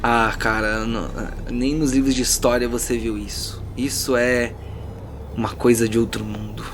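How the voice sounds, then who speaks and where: A man's voice: mid-range voice, little pitch movement, normal speed, noisy background. A Brazilian man working as game master, narrating a fantasy tabletop role-playing game dramatically, with informal asides. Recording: music bed behind the voice.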